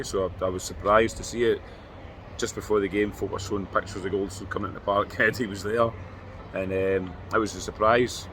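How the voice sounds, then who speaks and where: Low-voiced Scottish man, measured. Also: a bit bright, slight background noise, rough recording. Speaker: podcast co-host. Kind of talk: post-match fan reaction chat.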